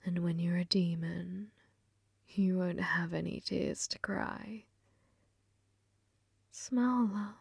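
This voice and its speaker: A woman voicing a flirty, possessive female demon gambler in an ASMR roleplay, breathy and often whispering, teasing with sweet menace. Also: fairly low pitch, fairly even intonation, no echo, clean studio sound, exaggerated voice. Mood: gloating, tender. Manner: slow, teasing, calls listener love. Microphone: close microphone, dry.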